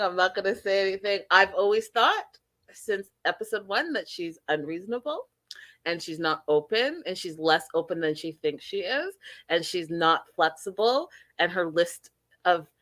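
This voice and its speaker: A woman's voice: mid-range voice, sharp tone, dry recording. A woman hosting a casual reality TV recap, chatty and emphatic, talking straight to her viewers. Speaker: host.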